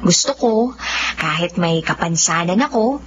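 A woman playing a gentle, resilient young blind woman with quiet determination, in Filipino radio drama style. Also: fairly low pitch, animated, unhurried. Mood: earnest, hopeful. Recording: dull audio with faint noise.

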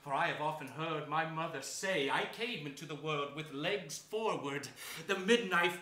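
A man's voice: fairly high pitch, moderate pace, pushed and bright. An actor playing a gleefully wicked, murderous royal villain in a theatrical Shakespearean stage reading, mocking and declamatory as he savors his words. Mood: gloating, menacing. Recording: echoey theatre stage recording.

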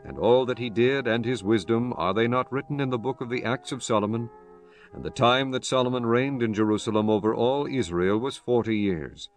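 Low-voiced solemn male scripture narrator. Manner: solemn, formal storytelling. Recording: music bed behind the voice.

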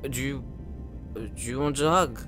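A man playing a gentle, unsure, tipsy older brother with a hesitant delivery in an audio roleplay. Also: medium pitch, a little slow, faint music behind, lightly acted. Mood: concerned, gentle.

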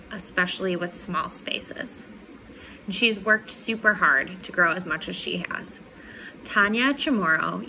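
A woman in her twenties delivers a prepared award tribute. Her voice is bright and on the low side, captured on a phone at arm's length with slight background noise. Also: rough recording. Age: 20s. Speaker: award presenter. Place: small room at home.

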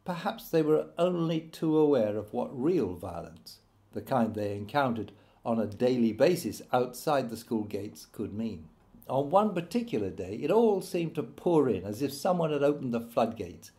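A man narrating his own written memoir as an audiobook, in a measured storytelling manner. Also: medium pitch, sing-song, dark tone.

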